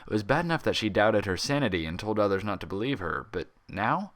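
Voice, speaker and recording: A man narrating a fan fiction story as an expressive reader. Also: on the low side, fast talker.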